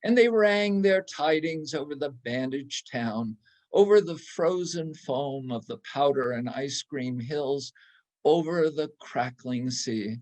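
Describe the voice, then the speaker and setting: A man reading a scripted literary story aloud in a measured, theatrical way with poetic phrasing. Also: on the high side, darkish tone. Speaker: readers theatre reader.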